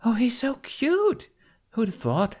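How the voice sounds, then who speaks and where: A man's voice: extremely high pitch, wildly swooping pitch, slow pace, a little mellow, pitched above the performer's own voice, exaggerated voice. A man voicing cooing, giggly young Viking women in an audiobook, with a gushing manner.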